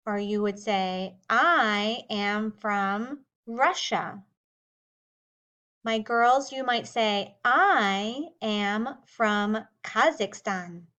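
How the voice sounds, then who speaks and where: A woman teacher giving a slow, clearly spoken English lesson to young learners, drawing out each word. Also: medium pitch, sharp tone, dull audio.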